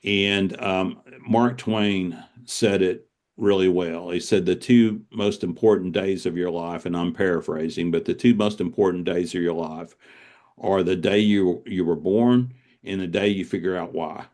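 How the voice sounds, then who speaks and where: Low-voiced older man, earnest talker. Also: fairly even intonation, measured pace, a little mellow. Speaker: author, interview guest. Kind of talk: reflective conversational interview.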